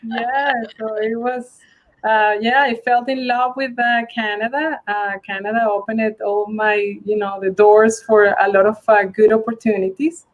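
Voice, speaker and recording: A woman from Venezuela, answering interview questions over a video call, halting with many fillers. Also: a little high, little pitch movement, lo-fi sound. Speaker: guest, global mobility manager.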